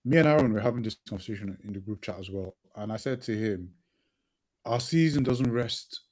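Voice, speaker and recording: Casting: male co-host, low mellow voice, sniffly, fervent, stumbles over repeated words. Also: a little fast. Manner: passionate, repeats words while thinking, swears. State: sniffly from hay fever. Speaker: podcast co-host. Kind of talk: casual football podcast chat.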